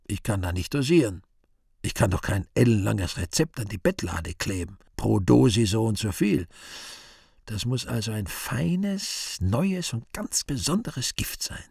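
An actor playing a brooding, vain, scheming devil who mutters to himself and breaks into exclamations in a theatrical radio play monologue, with a raspy, gravelly voice. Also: male voice, on the low side, darkish tone, dry recording, studio quality, exaggerated voice. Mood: scheming, musing.

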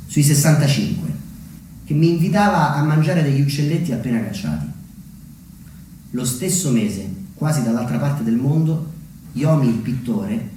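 A man from Umbria in Italy performing a reflective theatrical monologue as a solo storyteller, measured and pausing for weight. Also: medium pitch, mellow, a little hiss or hum. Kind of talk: theatrical monologue, narrated memoir. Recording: very echoey, distant mic, music underneath.

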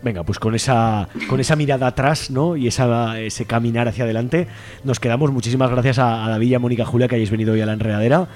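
A man's voice: mid-range voice, fairly even intonation, fast talker, a little mellow, soft background music. A man with an accent from Spain, presenting a radio show in a conversational way. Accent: Spanish from Spain.

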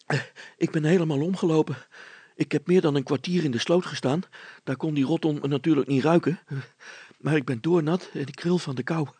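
A man's voice: fairly high pitch, a little fast, darkish tone, husky, dry recording, pitched above the performer's own voice. A man voicing an anxious, panting young son, a big simple lad in a storybook tale. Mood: breathless relief. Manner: panting, worried, hesitant.

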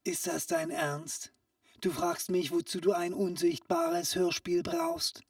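A woman playing a sly, secretive street peddler in a comic audio play, coaxing in a hushed, conspiratorial way that often drops into whispers. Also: on the low side, very animated, slow, vocal fry, no room sound, studio quality, far from the mic, exaggerated voice. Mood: persuasive.

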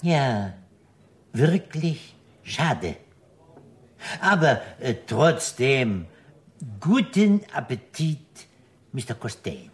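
An actor playing a suave, sly restaurant owner with formal, elaborately polite speech in a 1960s German radio drama. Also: male voice, mid-range voice, very animated, drawn-out words, long pauses. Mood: silky, courteous.

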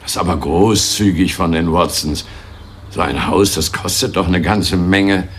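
An actor playing a menacing, sneering secret police officer and racist bully in a German radio drama, mocking and given to barking orders. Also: male voice, deep voice, steady tone, unhurried, slight background noise. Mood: pressuring, threatening. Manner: mocking, sneering, barks orders when angered.

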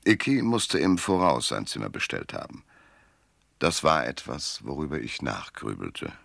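A man's voice: very deep voice, vocal fry, fairly bright tone, no echo, heavily acted. An actor playing a world-weary male private detective in a German hardboiled radio drama, dry, laconic and self-mocking.

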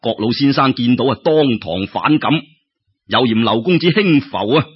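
A man with a Cantonese accent telling a story in an expressive, colloquial way, with exclamations and rhetorical questions. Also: mid-range voice, normal speed, slightly rough. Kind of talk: animated traditional Cantonese storytelling narration. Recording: dull lo-fi audio.

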